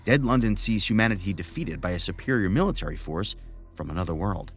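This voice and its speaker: A man's voice: low voice, animated, quick pace, breathy edge, faint music behind. A man playing a gleeful, macabre master of frights, savoring an ornate scripted podcast introduction in a theatrical manner. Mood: formal, inviting. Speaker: horror anthology podcast host.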